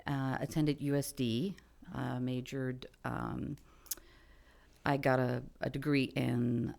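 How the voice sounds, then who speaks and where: Very low, gravelly middle-aged woman. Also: slow. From Belgium. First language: French. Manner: relaxed, hesitant with many fillers. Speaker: guest. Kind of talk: interview, telling her life story. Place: studio.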